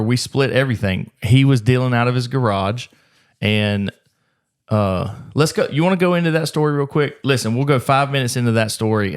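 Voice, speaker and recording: A man in a podcast chat, telling the tale of his early business in a brisk, rambling way. His voice sits at an average pitch, and he throws in a curse now and then as he goes. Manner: fast, rambling, swears freely. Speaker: gym owner, podcast host. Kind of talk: casual podcast storytelling.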